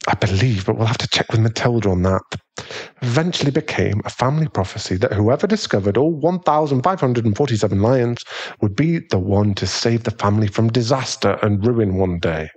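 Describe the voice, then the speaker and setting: A man acts out an aristocratic British werewolf fiancé for an ASMR audio drama. The voice is a fairly low male one, affectionate and polite, with a gentle, unhurried delivery. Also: animated, breathy edge. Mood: fond, explaining. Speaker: ASMR boyfriend voice actor. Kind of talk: ASMR boyfriend audio roleplay.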